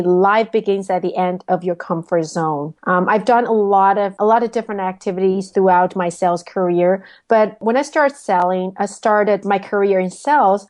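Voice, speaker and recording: A woman's voice: medium pitch, a little mellow. A woman from Taiwan, answering interview questions thoughtfully, with frequent "um"s and restarts. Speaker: guest, sales coach.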